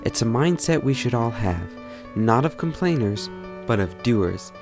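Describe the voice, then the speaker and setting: A man's voice: medium pitch, lively intonation, unhurried, over music. A man reading scripted documentary narration.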